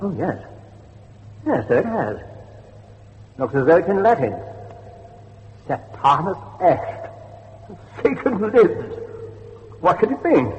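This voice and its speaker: Learned church guide, very animated intonation, slightly high voice, long reverb, horror radio drama. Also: male voice, takes it slowly, long pauses, full character voice. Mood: puzzled. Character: inquisitive, learned male guide to a haunted church. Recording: reverberant church effect, dull, faint noise.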